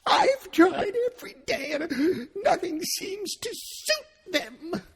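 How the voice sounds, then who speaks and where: A man's voice: wildly swooping pitch, takes it slowly, a bit bright, long reverb tail, full character voice. A man putting on a shrieking, sobbing female pigeon. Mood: sobbing, shrill. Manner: shrill, tearful and accusing. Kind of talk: storybook reading.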